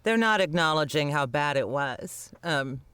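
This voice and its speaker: High-pitched male voice, casual podcast banter, wry and playful, very dry recording. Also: expressive, a little slow, sharp tone, clear, resonant tone, dry recording. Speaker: comedy podcast cast member and writer. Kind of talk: casual group chat.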